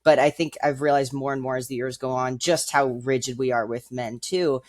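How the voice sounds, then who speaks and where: A man's voice: mid-range voice, fairly even intonation, moderate pace, darkish tone. A man co-hosting a podcast who talks reflectively, thinking aloud with fillers and working through an extended analogy. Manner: thoughtful, uses fillers, builds ideas through analogy. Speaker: podcast co-host. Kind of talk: reflective casual conversation.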